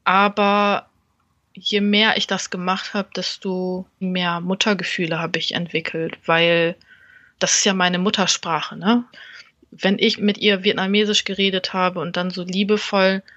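A woman's voice: lowish voice, level tone, measured pace, fairly bright tone, no echo, highs cut off. A woman who is a stand-up comedian, guest on a casual podcast, explaining her views thoughtfully and at length and laughing easily. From Germany.

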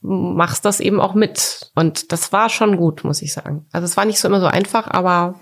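A woman in her forties from Coburg, a guest speaking in a relaxed interview, thoughtful and hesitant, with many fillers. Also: on the low side, fairly quick. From Germany, Coburg. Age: 40s. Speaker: podcast guest, art collector.

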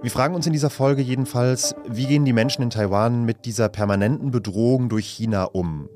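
A man hosting a news podcast, narrating a scripted report in a calm, measured way from an office. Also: mid-range voice, faint music behind. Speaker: podcast host.

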